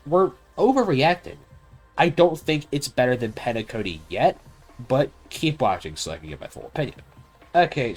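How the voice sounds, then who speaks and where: A man's voice: medium pitch, rough recording. A man delivering an opinionated video game review in a blunt, casual way, with some swearing.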